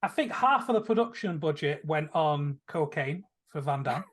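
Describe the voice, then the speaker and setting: A man's voice: fairly high pitch, animated, normal speed, a bit bright, husky. A man chatting casually and enthusiastically about films as a podcast panelist, rambling a little as he goes. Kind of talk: casual film discussion.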